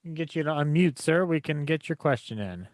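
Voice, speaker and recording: A man's voice: fairly high pitch, animated, fairly quick, no room sound. A man casually moderating audience questions on a group video call.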